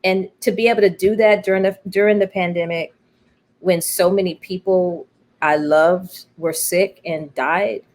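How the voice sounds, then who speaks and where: A middle-aged American woman speaking reflectively and emphatically in a personal conversation, pausing and repeating phrases for weight. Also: on the low side, steady tone, a little mellow. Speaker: interview guest, playwright.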